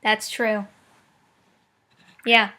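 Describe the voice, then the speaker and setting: A woman's voice: mid-range voice, little pitch movement, drawn-out words, bright, forceful tone. A woman making a prank phone call, talking in a mock-serious, teasing way. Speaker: prank caller.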